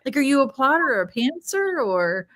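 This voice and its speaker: A woman's voice: high-pitched, big pitch swings, normal speed, fairly bright tone. A woman co-hosting a book chat over a video call, talking in a casual, informal way. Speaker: book club co-host.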